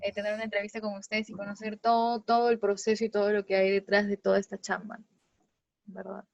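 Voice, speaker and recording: A woman's voice: mid-range voice, flat delivery, quick pace, gravelly. A woman with a Peruvian accent co-hosting a casual interview, chatting in an informal, enthusiastic way. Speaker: interview co-host. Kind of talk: casual chat. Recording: dull, dry online call audio.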